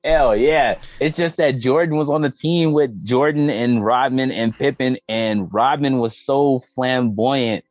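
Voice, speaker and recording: A man co-hosting a casual podcast, bantering in animated, exclamatory slang and acting out reactions. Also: medium pitch, a little slow, hardly any pauses, dry recording, muffled recording. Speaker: podcast co-host. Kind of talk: casual banter about movies and sports.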